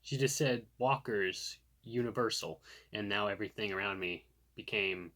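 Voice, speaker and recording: A man's voice: on the low side, moderate pace, bright, forceful tone. Casual, chatty man reviewing a product. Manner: chatty, thinking aloud as he demonstrates.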